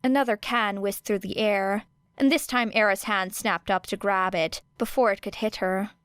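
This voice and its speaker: A woman narrating fan fiction as an audiobook in a steady, even storytelling voice of middling pitch. The recording is dry and close, with no room sound. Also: normal speed, clear, resonant tone, no echo. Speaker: podfic reader.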